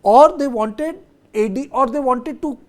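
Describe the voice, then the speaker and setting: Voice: high voice, unhurried. Emphatic Indian-accented political analyst.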